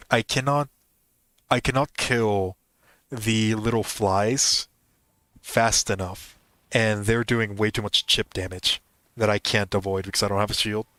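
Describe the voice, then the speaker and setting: A man's voice: fairly low pitch, a bit bright, dry recording. A man giving casual gameplay commentary as a gaming host, thinking aloud and correcting himself as he goes.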